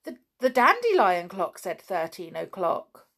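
A woman's voice: fairly high pitch, big pitch swings, takes it slowly, husky, pitched above the performer's own voice, exaggerated voice. A woman voicing a kindly, earnest young schoolboy in a children's storybook, full of wonder. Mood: astonished, concerned.

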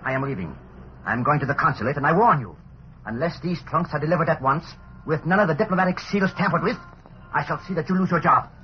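An actor playing a haughty, indignant foreign diplomat, pompous and formal, in 1940s radio drama style. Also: male voice, fairly high pitch, animated, fast, lightly acted. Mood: outraged. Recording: dull, noisy old radio recording.

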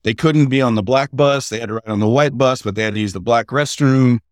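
A middle-aged man, a podcast guest, telling family stories in a halting, conversational way without a regional accent. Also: mid-range voice, no echo, clean studio sound. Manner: halting, with many fillers and restarts. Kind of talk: casual interview storytelling.

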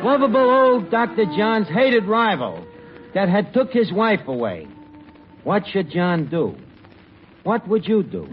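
High, melodramatic radio narrator. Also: male voice, sing-song, measured pace, faint music behind. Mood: mock suspense. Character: melodramatic soap-opera narrator, mock-suspenseful. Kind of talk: old-time radio comedy narration. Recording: muffled, noisy vintage radio recording.

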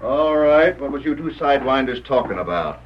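An actor playing a stubborn, suspicious sheriff with folksy cowboy talk in an old radio Western. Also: male voice, medium pitch, expressive, moderate pace, lightly acted. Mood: stern, distrustful. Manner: gruff cowboy drawl, folksy slang. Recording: muffled, hissy vintage radio recording.

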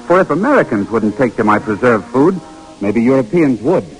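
A man's voice: mid-range voice, sing-song, brisk, lightly acted, low-quality recording. An actor in an old radio drama playing a determined young inventor who narrates his own story, earnest and persuasive with small stammers. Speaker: narrator and lead character. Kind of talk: 1940s radio drama acting. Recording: old radio broadcast with music.

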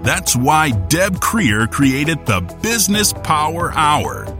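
Male promo announcer, punchy and lively, music bed underneath. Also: on the high side, slow. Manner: punchy, salesy. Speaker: show announcer. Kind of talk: promotional intro voice-over.

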